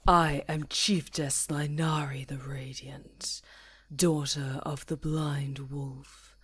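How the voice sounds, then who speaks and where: A woman voicing a stern, commanding werewolf chieftain with slow, weighty, formal speech in an ASMR roleplay. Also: sits low, little pitch movement, fairly bright tone, breathy edge, heavily acted.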